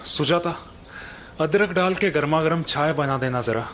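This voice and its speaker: A man's voice: on the high side, moderate pace, fairly bright tone, soft background music, natural voice. A man playing an easygoing farmer husband. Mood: mild. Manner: mild, unbothered.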